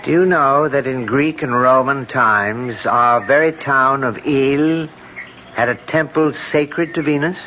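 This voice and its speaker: An actor playing an earnest, superstitious scholarly gentleman with a solemn theatrical manner in old-time radio style. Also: medium pitch, measured pace, natural voice. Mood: awed. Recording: muffled, slightly noisy 1970s radio broadcast.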